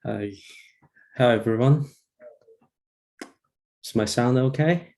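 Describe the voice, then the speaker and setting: A man with a Chinese accent, a native Chinese speaker, interpreting informally in a halting, hesitant manner. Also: medium pitch, dark tone. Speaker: volunteer interpreter.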